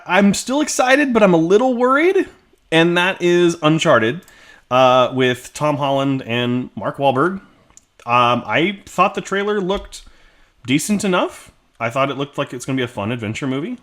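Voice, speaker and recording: A man's voice: on the high side, animated, measured pace, fairly bright tone. Chatty man co-hosting a movie podcast. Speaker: podcast co-host. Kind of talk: casual movie discussion.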